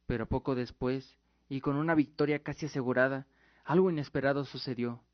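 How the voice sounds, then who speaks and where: A man's voice: medium pitch, fairly even intonation, a little fast. A man narrating a scripted fantasy radio drama in a solemn storytelling manner. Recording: dull lo-fi audio with music behind. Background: music.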